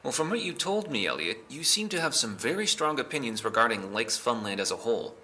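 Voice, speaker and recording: A man playing a suspicious police interrogator in an audio drama, measured and pointed as he challenges the listener. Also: mid-range voice, big pitch swings, pushed and bright, a little hiss or hum. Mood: accusing.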